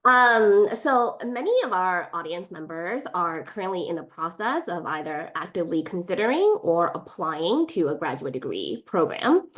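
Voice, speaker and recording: A woman's voice: fairly low pitch, very animated, moderate pace, few pauses, fairly bright tone. A woman hosting an online panel as moderator, polite and organized, with frequent fillers, on a video call. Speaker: moderator, admissions director.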